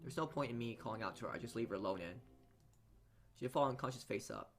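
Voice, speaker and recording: A man reading a visual novel aloud as dramatic narration, halting often as he stumbles over and repeats words. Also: medium pitch. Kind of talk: dramatic read-aloud narration for a let's play.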